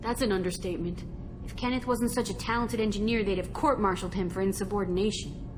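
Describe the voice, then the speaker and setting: A woman voicing an earnest, loyal, gently teasing starship engineer in a video game cutscene. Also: medium pitch, steady tone, fast talker, close to an everyday voice. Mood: fond, matter-of-fact. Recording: noisy ship ambience behind.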